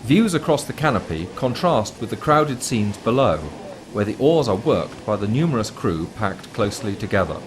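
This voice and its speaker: A man narrates a documentary in a fairly low voice with lively, varied intonation. His delivery is measured, formal and explanatory, read from a script. There is clear background noise on the recording.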